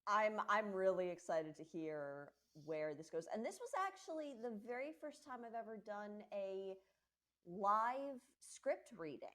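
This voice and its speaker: A woman's voice: medium pitch, very animated, measured pace, fairly bright tone. A woman who works as a voice actor, chatting casually about a performance. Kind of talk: casual cast chat.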